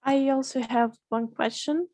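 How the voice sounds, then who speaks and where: A young woman from Russia asks a guest speaker questions over a video call, her voice a little higher than most women's. She is polite and somewhat hesitant, pausing with ums as she phrases her questions. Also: steady tone, dark tone, no room sound. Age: young adult. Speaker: student asking questions.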